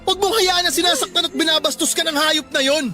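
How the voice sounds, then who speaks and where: A man's voice: very high-pitched, fast talker, over loud music. A man with a Filipino accent playing a protective, frank young office worker in a radio drama, informal and insistent. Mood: angry, protective. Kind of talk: Tagalog radio drama acting.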